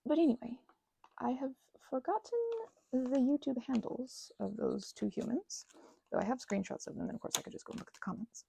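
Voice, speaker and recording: A woman rambling casually as she crafts, talking in a gushing, halting way and often interrupting herself. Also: fairly high pitch, lively intonation, vocal fry, warm tone, slight background noise, poor audio. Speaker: hobby ASMR and roleplay audio creator.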